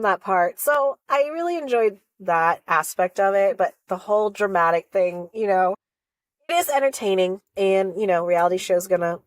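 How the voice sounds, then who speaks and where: A woman's voice: mid-range voice, dry recording. A woman with a cold, casually recapping a reality show episode in a rambling, chatty way and signing off with encouragement. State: sniffly with a cold. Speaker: reality show reaction vlogger.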